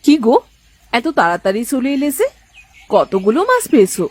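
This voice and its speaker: Woman acting a sharp-tongued, animated village wife. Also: a little high, normal speed, slightly rough. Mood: questioning. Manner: sarcastic, scolding. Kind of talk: Bengali moral-story cartoon dubbing.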